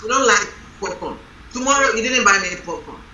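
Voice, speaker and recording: A woman reverend leading a panel on marriage, talking in a loud, strong voice and telling stories with emphasis. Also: mid-range voice, lively intonation, brisk, lo-fi sound, slight background noise. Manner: emphatic, storytelling. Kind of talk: panel discussion. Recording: roomy hall from a distant mic.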